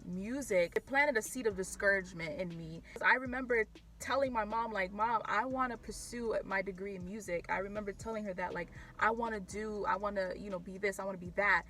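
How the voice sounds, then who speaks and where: A woman's voice: mid-range voice, bright, forceful tone. Casual young woman sharing a testimony. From Haiti, raised in Florida. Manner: casual, candid, many fillers and restarts. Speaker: vlogger. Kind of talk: personal testimony vlog.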